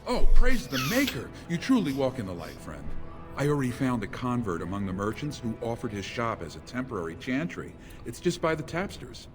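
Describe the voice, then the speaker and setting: An actor playing an eager, devout religious brother in a fantasy video game, earnest and pious. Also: male voice, medium pitch, wildly swooping pitch, brisk, music in the background. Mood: joyful piety. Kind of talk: video game character acting.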